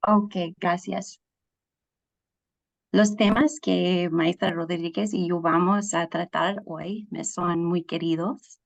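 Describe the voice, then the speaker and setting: A woman scientist giving a webinar talk in careful, halting non-native Spanish over a video call. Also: lowish voice, steady tone, gravelly. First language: English. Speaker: guest speaker, scientist. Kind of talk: webinar presentation.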